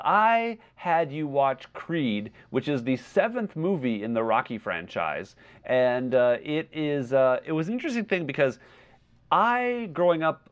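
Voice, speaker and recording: A man co-hosting a podcast in casual review chat, halting and often backtracking as he explains. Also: mid-range voice, smooth. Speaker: podcast co-host. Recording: dull lo-fi replacement microphone.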